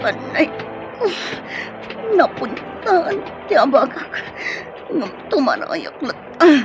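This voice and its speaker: A woman's voice: high-pitched, big pitch swings, slow, music in the background, exaggerated voice. A woman with a Filipino accent playing a frightened young woman rebel, anxious and pleading, in a radio drama. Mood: panicked, desperate. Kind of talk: Filipino radio drama acting with inner narration. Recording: muffled lo-fi radio drama audio.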